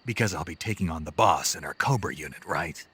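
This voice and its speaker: An actor playing a gruff, stoic American special forces soldier in a video game, with terse, skeptical, questioning delivery and a rasping voice. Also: male voice, low-pitched, a little fast, fairly bright tone, full character voice. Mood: grim, terse. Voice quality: very husky, gravelly rasp. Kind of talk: dramatic video game voice acting.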